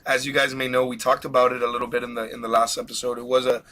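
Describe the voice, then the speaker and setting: Bright-voiced excited male podcast host. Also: mid-range voice, racing. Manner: excited, rambling, laughs often. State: has a cold. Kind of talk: casual live podcast chat.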